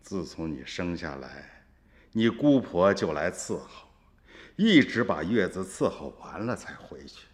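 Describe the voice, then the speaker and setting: A man's voice: low-pitched, very animated, measured pace. An older man with a Shaanxi accent, narrating a radio drama in a folksy way as a grandfather. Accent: Shaanxi dialect. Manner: storytelling, folksy. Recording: reverberant radio drama with music.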